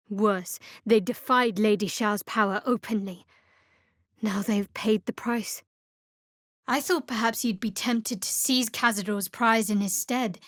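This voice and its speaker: A woman voicing a guarded, dry-witted young female cleric in a fantasy video game, measured and wry. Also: on the high side, no echo, natural voice.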